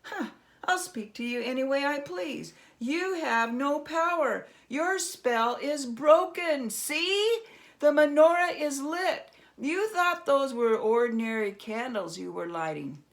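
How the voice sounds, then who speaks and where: A woman's voice: high voice, takes it slowly, pitched above the performer's own voice. A woman voicing a wily man in a storybook, cheeky and given to stammering and exclaiming. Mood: defiant. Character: wily man feigning fright, cheeky and defiant.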